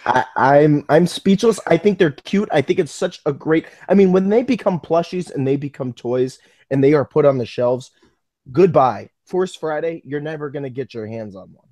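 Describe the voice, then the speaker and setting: An American man co-hosting a casual live fan podcast, talking in an excitable, joking way. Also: on the high side, normal speed, no room sound, studio quality. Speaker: podcast co-host.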